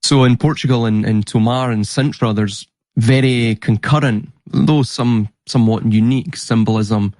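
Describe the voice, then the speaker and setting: A man's voice: medium pitch, moderate pace, hardly any pauses, darkish tone, no room sound. A man talking in a casual podcast conversation, explaining things in an earnest way. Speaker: podcast guest and researcher.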